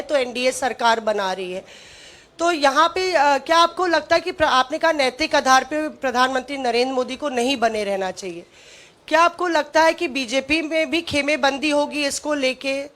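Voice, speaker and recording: A woman's voice: high-pitched, a bit bright. A woman working as a reporter, asking a long, hesitant question at a press conference.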